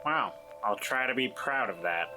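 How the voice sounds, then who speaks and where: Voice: medium pitch, animated, moderate pace, music in the background, poor audio. A player in an actual-play tabletop game, talking casually and improvising.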